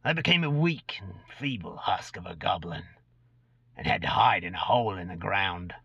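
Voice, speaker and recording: A man's voice: low-pitched, expressive, normal speed, vocal fry, breathy edge, heavily acted. A man voicing an ancient, gaunt, cursed goblin, a brooding and unrepentant former killer, in a dramatic reading of a fantasy tale. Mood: grim, boastful. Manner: brooding storyteller recounting dark deeds. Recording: dull lo-fi recording in echoey room.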